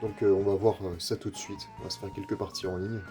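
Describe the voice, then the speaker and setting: A man's voice: fairly low pitch, little pitch movement, fast talker, warm tone, faint music behind. A man with a head cold gives rambling, casual commentary while playing a racing game, with many fillers and the odd curse. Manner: rambling and filler-heavy, mild swearing. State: stuffy-nosed with a cold. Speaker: gaming youtuber.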